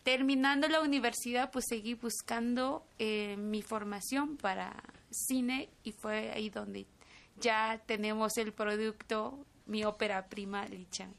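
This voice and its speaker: A young woman from Chiapas whose first language is Tzotzil, answering an interviewer in a fairly high voice. She speaks thoughtfully and pauses on fillers, often ending with a questioning tag. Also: fairly even intonation, gravelly, fairly bright tone. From Chiapas, Mexico. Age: 20s. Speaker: filmmaker guest.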